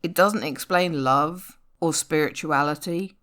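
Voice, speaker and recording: A woman's voice: sits low, a little slow, fairly bright tone. A woman voicing an opinionated, insistent New Age young woman in a poem reading, declaiming preachily. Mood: pert, insistent.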